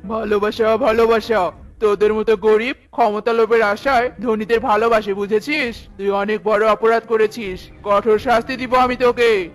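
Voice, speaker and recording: Man as a haughty high-pitched cartoon king. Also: steady tone, moderate pace, faint music behind, natural voice. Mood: contemptuous, commanding. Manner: imperious, scolding, commanding. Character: haughty, cruel king, contemptuous of the poor. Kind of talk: dramatic cartoon voice acting.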